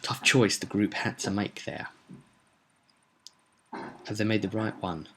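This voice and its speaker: A man's voice: on the low side, a little slow, slight background noise. A man giving an informal spoken recap as game master of a tabletop roleplay, explaining events with emphasis like a storyteller.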